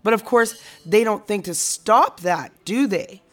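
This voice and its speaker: A woman's voice: medium pitch, lively intonation, measured pace, lightly acted. A woman playing a reflective, frustrated teacher in a naturalistic audio drama conversation. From Canada.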